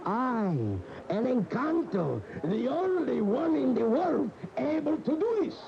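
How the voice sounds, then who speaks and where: An actor in a radio drama playing an emotional bullfight devotee, recalling his idol reverently with dramatic, halting phrases. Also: very high-pitched, sing-song, gravelly, full character voice. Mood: rapt, nostalgic. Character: admiring bullfight aficionado reminiscing, emotional and reverent. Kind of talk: 1980 radio drama monologue, interview-like recollection. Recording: dull, noisy 1980 AM radio recording.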